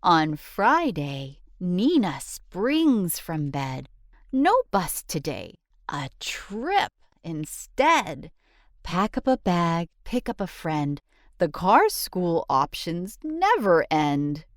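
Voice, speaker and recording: Woman doing a kids book read-aloud, sing-song and animated, really dry close sound. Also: on the low side, very slow, dry recording. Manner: expressive, sing-song delivery. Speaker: children's book reader. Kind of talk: scripted rhyming read-aloud storytelling.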